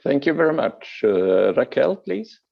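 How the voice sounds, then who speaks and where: A man's voice: medium pitch, very animated, a little slow, gravelly, lo-fi sound. A man chairing an online meeting, calling on speakers in a brief, polite way.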